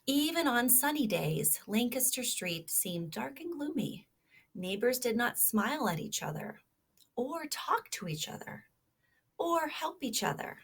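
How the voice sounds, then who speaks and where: A woman's voice: fairly high pitch, big pitch swings, measured pace, fairly bright tone, husky. A woman reading a children's story aloud expressively, stopping to prompt her young listeners with questions. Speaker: storybook reader.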